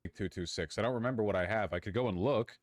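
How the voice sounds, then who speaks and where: A man's voice: lowish voice, quick pace, no echo, studio quality. A man streaming casually, thinking aloud as he chats. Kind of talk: casual livestream chat.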